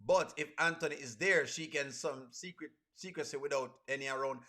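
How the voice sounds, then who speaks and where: A man's voice: on the high side, brisk, bright, forceful tone. A man with a Caribbean accent hosting a casual reaction livestream, talking to viewers and repeating his phrases for emphasis. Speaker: livestream host.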